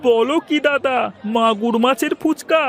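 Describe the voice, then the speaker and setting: A man voicing an excitable male snack customer in a children's cartoon. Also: very high-pitched, normal speed. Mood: delighted.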